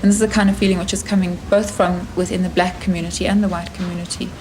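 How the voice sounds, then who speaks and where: South African woman, even-toned, giving an interview. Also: on the low side, very fast, background noise. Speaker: anti-conscription campaigner. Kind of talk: unscripted interview answer.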